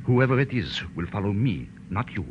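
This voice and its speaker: A man's voice: lowish voice, big pitch swings, normal speed. An actor playing a round, nervous, fussy male dealer with a flustered, insistent manner, in 1940s radio drama style. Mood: tense, instructing. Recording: noisy, dull vintage radio recording.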